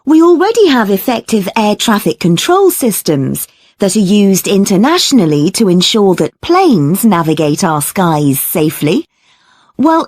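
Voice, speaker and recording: Woman academic lecturer, measured and explanatory, animated intonation, dry studio sound. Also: medium pitch, no room sound.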